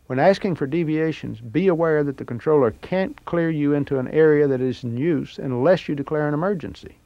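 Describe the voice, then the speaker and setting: A man's voice: on the high side, gravelly, darkish tone, no room sound. A man reading scripted instructional narration for pilots in a plain-spoken, explanatory manner. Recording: muffled, noisy old training tape.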